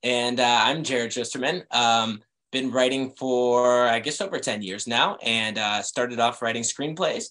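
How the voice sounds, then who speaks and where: Relaxed male author guest. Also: mid-range voice, a little slow, low-quality recording. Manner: relaxed, rambling with fillers. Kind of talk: casual interview chat.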